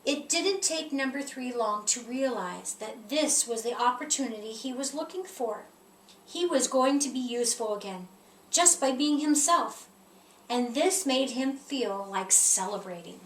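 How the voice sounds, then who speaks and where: A woman reading a children's picture book aloud in an expressive storytelling style. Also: on the high side, unhurried. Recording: roomy echo, distant mic, faint noise.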